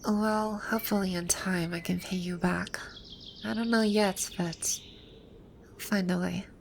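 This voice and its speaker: A woman playing a proud, teasing video game villainess come to life, speaking softly in an intimate ASMR roleplay. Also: mid-range voice, steady tone, a little slow, a little hiss or hum. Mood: shy, vulnerable. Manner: smug, teasing, soft-spoken with breathy asides. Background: birdsong and outdoor ambience sound effects.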